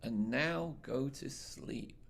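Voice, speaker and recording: A man's voice: mid-range voice, slow pace, vocal fry, voice pushed up, heavily acted. A man voicing an anxious, kindly woman who looks after little schoolgirls, in a theatrical, earnest storybook manner. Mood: relieved, firm.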